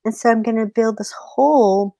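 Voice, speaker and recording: A woman voicing a sly trickster rabbit in a spoken folk tale, coaxing and falsely worried, explaining haltingly. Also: fairly high pitch, vocal fry, dark tone, no room sound, studio quality. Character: sly trickster rabbit feigning worry and reassurance.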